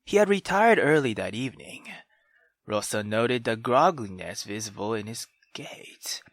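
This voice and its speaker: Male let's player, informal and expressive, unhurried delivery with lively pitch, reading a story game aloud. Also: dry recording. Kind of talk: reading a visual novel aloud with reactions.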